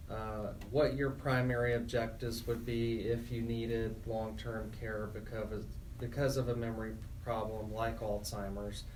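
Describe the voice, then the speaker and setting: Male talk radio host, middle-aged, medium-pitched voice, slightly off-mic with some background noise. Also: a little mellow, far from the mic, low-quality recording. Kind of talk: talk radio conversation and announcements. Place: radio show, live.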